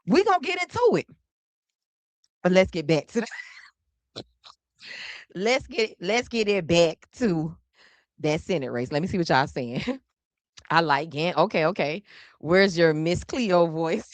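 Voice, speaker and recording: A woman's voice: medium pitch, a bit bright, no echo. A woman hosting a casual political commentary livestream, chatty and teasing, who swears freely and laughs often. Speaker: podcast host.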